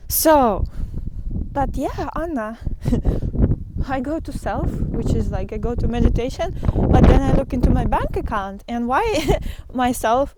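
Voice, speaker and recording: A woman giving an earnest, unscripted spiritual talk as a teacher, speaking haltingly with pauses and repetitions. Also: a little high, mellow, rough recording. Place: outdoors in the wind. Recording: windy outdoor recording with clear noise.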